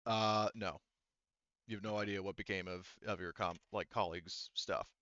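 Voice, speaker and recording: A man's voice: low-pitched, fairly even intonation, normal speed, a bit bright, lo-fi sound. A man narrating a tabletop roleplaying game as game master, casual and often profane.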